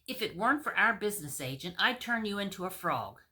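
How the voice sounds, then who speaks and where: Woman voicing a brusque, bright-voiced male fairy. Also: medium pitch, expressive, brisk. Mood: scolding. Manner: blunt, wisecracking, thick comic accent. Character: brusque, scolding male fairy, union worker.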